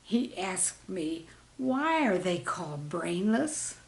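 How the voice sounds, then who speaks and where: A woman, a teacher, reading her own scripted humorous anecdotes in a deliberate storytelling manner. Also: fairly low pitch, very animated, vocal fry.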